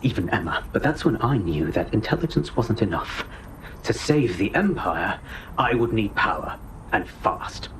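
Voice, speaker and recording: A haughty British spy villain, low-voiced and condescending. He speaks with pompous scorn in an echoey, noisy space. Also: very animated, normal speed. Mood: indignant. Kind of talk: video game voice acting. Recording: echoey room with clear noise.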